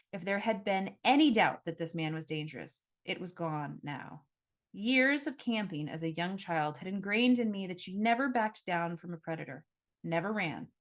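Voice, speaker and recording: A woman reading her own first-person novel aloud as a scripted narration, with expressive, dramatic storytelling. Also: fairly low pitch.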